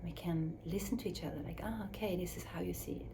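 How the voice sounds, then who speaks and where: A woman speaking English as a second language in a slow, searching reflective talk, with restarts and soft drops to a near whisper. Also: lowish voice, steady tone, creaky, noisy background. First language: Swiss German or Dutch. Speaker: speaker on her own channel.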